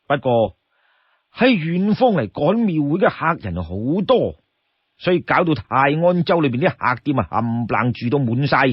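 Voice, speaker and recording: A man with a Cantonese accent narrating a classic tale as an expressive storyteller. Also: fairly high pitch, husky, dry recording, highs cut off.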